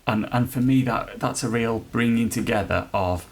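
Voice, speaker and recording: A man who leads an NHS trust's inclusion work, answering podcast questions in an earnest, halting way with many restarts. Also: medium pitch, vocal fry. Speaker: NHS trust leader chairing an inclusion council.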